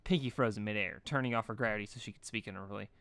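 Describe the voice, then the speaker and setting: A man's voice: fairly low pitch, rapid-fire, a bit bright, clean tone, no room sound. Man narrating a story, dry sound. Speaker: fan fiction reader.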